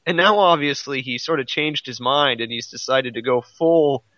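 A man's voice: mid-range voice, normal speed, sharp tone, no echo. A man co-hosting a podcast in casual, conversational chat, heard on a slightly lo-fi recording. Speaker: podcast co-host. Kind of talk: casual chat about a comic series.

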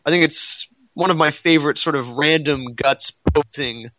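Rambling man with a bright, forceful voice. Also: fairly high pitch, moderate pace, no room sound, highs cut off. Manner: rambling, repeats himself, heavy use of "like". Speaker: podcast panelist. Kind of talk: casual fan discussion.

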